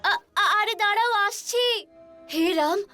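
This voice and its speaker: A woman voicing a young, chatty newlywed village wife in a dramatised horror story, girlish and expressive. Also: extremely high pitch, takes it slowly, soft background music, full character voice.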